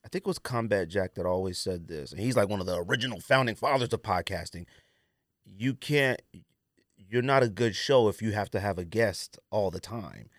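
A man's voice: fairly low pitch, moderate pace, dry recording, studio quality. A man co-hosting a podcast, talking casually and giving his opinions in an emphatic, slangy way, often repeating himself for stress. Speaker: podcast co-host.

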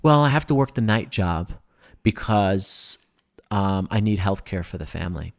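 A man with a low, gravelly voice, talking eagerly on a podcast. He explains things at length, with plenty of ums and uhs. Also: animated, normal speed. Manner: enthusiastic, explanatory, many fillers. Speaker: podcast guest, breathing workshop instructor. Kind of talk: casual podcast conversation.

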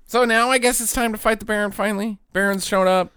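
A man in casual, profane conversation, recapping a movie with friends and acting out characters in quick jokey asides. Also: sits high, steady tone, sharp tone, smooth, no room sound, clean studio sound.

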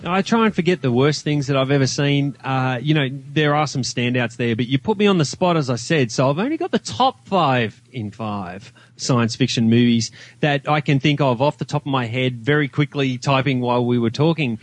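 A man's voice: mid-range voice, normal speed, no echo. An Australian man talking casually about films as a podcast guest, with an emphatic, opinionated, slangy manner. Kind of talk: casual film discussion.

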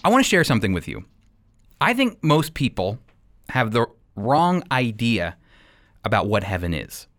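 A man hosting a radio program as a Bible teacher, speaking with deliberate, emphatic phrasing. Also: medium pitch, very animated, fairly bright tone.

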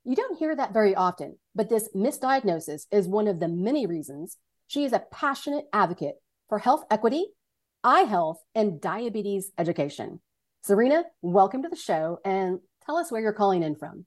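A woman hosting a podcast interview, her voice slightly hoarse and crackly from illness, chatty and quick to laugh. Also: mid-range voice, very animated. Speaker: podcast host. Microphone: remote interview.

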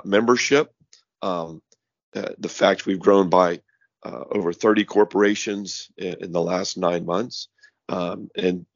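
A middle-aged man with a hoarse voice, hosting a podcast in a rambling way with many fillers and restarts. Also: deep voice, no echo, lo-fi sound. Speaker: podcast host. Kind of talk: casual podcast monologue.

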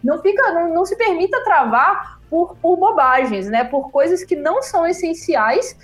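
A woman's voice: very high-pitched, expressive, normal speed. A Brazilian woman chatting on a podcast, emphatic and fond of repeating words. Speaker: German teacher guest. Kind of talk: casual podcast chat.

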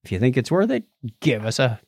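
A man's voice: mid-range voice, very animated, brisk, vocal fry, a little mellow, no echo. A man co-hosting a podcast from a studio, chatting casually and playfully, moving between announcements and banter. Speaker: podcast co-host. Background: music.

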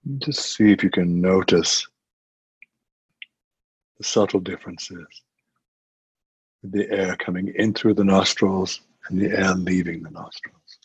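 A man leading a guided meditation as a teacher, giving gentle, unhurried instructions with long pauses. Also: sits low, slightly rough, no room sound. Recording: muffled, dull lo-fi audio.

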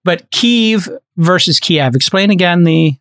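A man hosting a casual panel podcast, mocking and animated, often laughing. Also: high voice, measured pace, no room sound, studio quality. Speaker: podcast host.

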